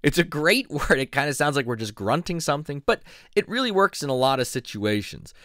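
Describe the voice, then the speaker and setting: A middle-aged man from southeastern Pennsylvania giving a playful, animated casual video lesson, laughing often. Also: on the high side, fairly quick, no room sound, studio quality. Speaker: YouTube language teacher. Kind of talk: casual vlog-style lesson.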